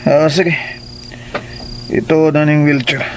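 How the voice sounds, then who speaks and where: Rueful young man: slightly high voice, plaintive, Filipino accent, strong music bed. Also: flat delivery, slow. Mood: reluctant. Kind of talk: Philippine radio drama acting. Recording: prominent music behind the voice.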